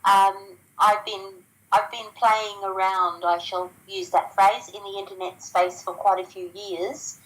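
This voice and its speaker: A woman's voice: mid-range voice, level tone, a little slow, hardly any pauses, a bit bright, low-quality recording. An Australian woman talking casually as an interview guest, chatty, with frequent ums and restarts. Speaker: guest sharing business results.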